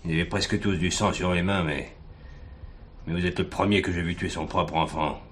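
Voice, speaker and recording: An actor playing a blunt, sardonic, hard-nosed police commissioner who bullies a suspect in a French radio crime drama. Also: male voice, low voice, fairly even intonation, normal speed, fairly bright tone. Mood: hard, accusing. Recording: noisy old radio drama recording.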